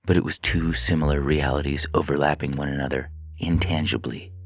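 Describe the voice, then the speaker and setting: Very deep, husky man narrating a story. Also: fairly even intonation, fairly quick, no room sound. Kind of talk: scripted narration of science fiction short fiction.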